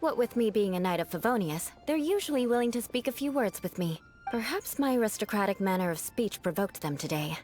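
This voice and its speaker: A woman's voice: medium pitch, expressive, a little fast, darkish tone, faint music behind, lightly acted. A woman playing a proud young noblewoman knight with grandiose, formal aristocratic speech in an anime video game. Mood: resigned.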